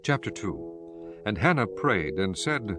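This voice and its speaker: Low-voiced male scripture narrator. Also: big pitch swings. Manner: solemn, measured storytelling. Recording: music bed behind the voice.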